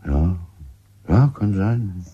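A bitter, deep, husky villager. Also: male voice, lively intonation, highs cut off, exaggerated voice. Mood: evasive. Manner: coarse, defensive, halting. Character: bitter, crude French village husband under murder suspicion. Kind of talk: German radio drama acting.

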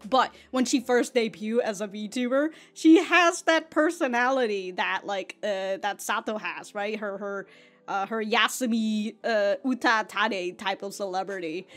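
A woman's voice: medium pitch, measured pace, a bit bright, faint music behind. A young woman hosting an anime reaction video, rambling loosely and swearing often as she laughs between thoughts into a studio mic. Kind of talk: casual reaction commentary. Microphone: large studio mic in front.

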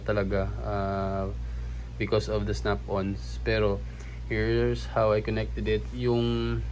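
Man, thirties, Filipino accent, fairly deep, casual reviewer, hesitant, slightly noisy room recording. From Philippines. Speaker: vlogger, product reviewer. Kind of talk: casual unboxing and review chat mixing languages. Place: small room at home. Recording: noisy phone or camera recording.

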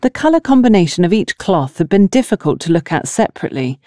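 Woman narrating a novel, lively and mellow. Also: fairly low pitch, fast, no room sound, studio quality. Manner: expressive storytelling with acted dialogue. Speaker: audiobook narrator.

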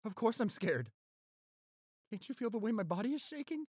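A young man acting an anxious, devoted boyfriend in a roleplay audio, halting and stammering as he pleads. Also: high voice, sing-song, a little mellow, breathy edge, no echo, clean studio sound, heavily acted. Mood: tender, pleading.

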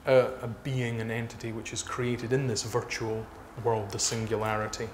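A man in his thirties speaking haltingly as an author being interviewed on stage. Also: mid-range voice, flat delivery. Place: small dark stage before a seated audience. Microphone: lapel mic. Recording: noisy live event room recording.

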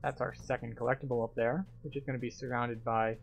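A man's voice: mid-range voice, steady tone, quick pace, vocal fry. Man, casual gameplay commentary, step-by-step explaining, game music underneath. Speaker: gamer, video game tour guide.

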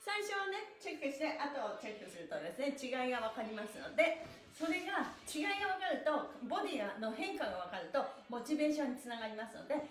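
A middle-aged woman teaches exercise with a high, very lively voice. She is upbeat and chatty, speaking politely to viewers with bright exclamations. The sound is roomy, as if recorded in a small bare room a little way from the camera. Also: quick pace. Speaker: stretching instructor and live-stream host. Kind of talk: cheerful exercise instruction to viewers.

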